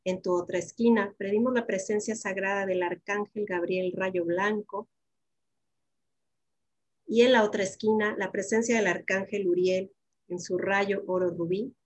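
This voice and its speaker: A woman with a medium-pitched voice leads a spiritual invocation for a guided meditation. She is solemn and prayerful, setting out each phrase in turn.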